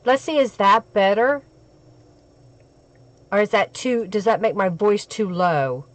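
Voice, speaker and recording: Hoarse woman chatting slowly. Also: mid-range voice. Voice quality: crackly. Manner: chatty, talks to viewers while she works. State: hoarse from a cold. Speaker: craft live-stream host. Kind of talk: casual live-stream crafting chat.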